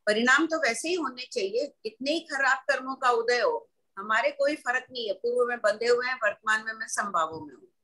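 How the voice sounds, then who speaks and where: A middle-aged woman leads a scripture class, her voice bright and clear and pitched a little high. She explains terms patiently, step by step, and adds everyday examples. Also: lively intonation, a little fast, low-quality recording. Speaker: religious scripture teacher.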